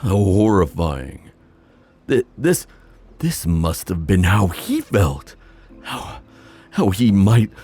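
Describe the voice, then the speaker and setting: A man playing a proud, protective sea god and father in a fantasy ASMR roleplay, musing haltingly with sighs. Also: fairly low pitch, darkish tone, husky, full character voice. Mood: sober, reflective.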